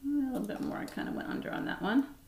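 A middle-aged woman giving a relaxed, chatty cooking demonstration in her home kitchen, talking through each step as she mixes. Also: sits high, fast. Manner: relaxed, chatty step-by-step instructions while mixing. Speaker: cooking instructor. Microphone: fixed camera across the counter. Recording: echoey kitchen with clattering dishes.

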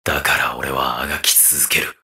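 An actor playing a ruthless, mocking undead armored mercenary villain in a video game, with a taunting, theatrical delivery. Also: male voice, mid-range voice, huge pitch swings, slow, sharp tone, full character voice. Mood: grim. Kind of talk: video game battle voice acting.

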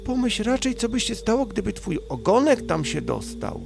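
A very high, sweet and fretful little troll maiden from a children's storybook, acted out by a male narrator. She speaks in a plaintive, whining way, with music playing behind her. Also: sing-song, normal speed, vocal fry, exaggerated voice. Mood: chiding comfort. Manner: whiny, plaintive.

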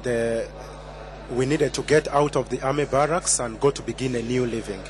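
A man's voice: medium pitch, fairly even intonation, moderate pace, background noise. A man in his twenties from Uganda giving a personal testimony at a conference, hesitant, with many fillers and restarts. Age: 20s. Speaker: conference speaker.

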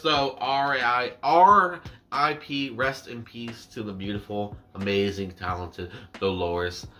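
Young male reaction vlogger, bright and forward voice, chatty and meandering, seated indoors. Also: medium pitch. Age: 20s. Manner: rambling, self-deprecating, drawn-out words. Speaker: reaction YouTuber. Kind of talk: casual chat to camera. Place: small indoor room with dark backdrop.

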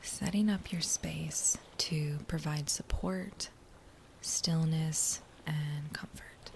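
A woman guiding a body scan meditation in a soft, breathy near-whisper, with a gentle, soothing manner and unhurried phrases. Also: sits low, fairly even intonation, rough recording. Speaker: meditation guide. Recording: faint background noise.

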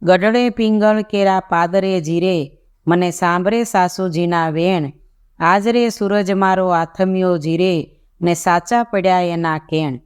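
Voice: medium pitch, fairly even intonation, takes it slowly, smooth, lightly acted. A narrator voicing a sorrowful, evasive royal woman in a folk tale, a grieving mother who pleads and recites verse.

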